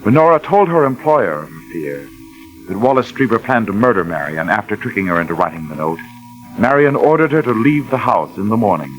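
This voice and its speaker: An actor serving as narrator of a 1940s radio suspense drama, formal, measured and ominous, on a vintage recording. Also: male voice, fairly low pitch, big pitch swings, faint music behind, poor audio. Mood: grave, ominous. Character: grave male narrator of a suspense story.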